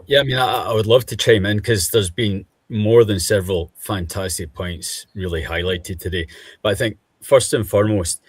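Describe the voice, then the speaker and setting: Deep husky Scottish man. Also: dry recording. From Scotland. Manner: measured, thoughtful, frequent ums. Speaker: panel guest. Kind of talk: online panel discussion.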